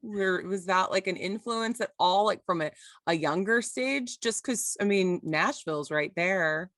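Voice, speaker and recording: A woman's voice: medium pitch, unhurried, fairly bright tone, no room sound, clean studio sound. A woman from Louisiana hosting an interview podcast, asking questions in an eager, encouraging way. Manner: enthusiastic, encouraging, frequent backchannel. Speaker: podcast host. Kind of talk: casual interview chat.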